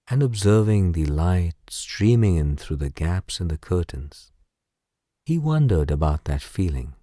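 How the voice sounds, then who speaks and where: A man narrating a bedtime story as guided sleep meditation in a soothing, gentle, unhurried way. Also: low voice, dry recording, clean studio sound.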